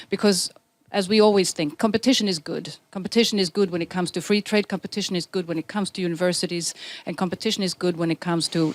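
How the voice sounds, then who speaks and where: A woman speaking for the government on a conference panel, halting with many fillers and repeating phrases. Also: fairly low pitch. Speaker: government representative. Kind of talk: panel discussion.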